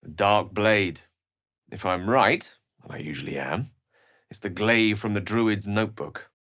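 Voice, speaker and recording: A man's voice: fairly low pitch, a little slow, fairly bright tone, no echo, studio quality. An actor playing an erudite, witty and self-assured wizard in a video game, eloquent and theatrical in his wordy delivery. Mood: curious, wry. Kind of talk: video game character acting.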